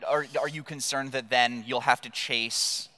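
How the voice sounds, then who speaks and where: A male interviewer on a conference stage with a bright, sharp-edged voice at medium pitch. He assembles long questions in halting pieces, restating as he goes. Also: fairly even intonation. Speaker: interviewer, moderator.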